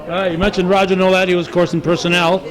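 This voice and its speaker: A man's voice: high-pitched, flat delivery, rapid-fire, poor audio. A man conducting a casual interview with short, folksy questions and prompts. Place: indoor social gathering. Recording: busy room with crowd chatter.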